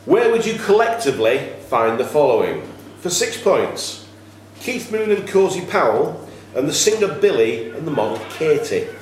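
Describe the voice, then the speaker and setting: A man working as quizmaster, reading questions to contestants in a measured way with informal asides. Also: on the high side, very animated. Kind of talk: reading pub-style quiz questions to contestants. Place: echoey room at a cricket club. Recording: very echoey room, faint noise.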